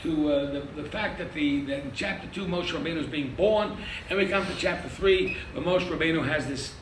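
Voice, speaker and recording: An older man teaching a lively interactive Torah class as a rabbi, quizzing his audience and joking. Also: on the high side, a little fast. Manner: animated, quizzes the audience, jokes. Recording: echoey room from far off with noise.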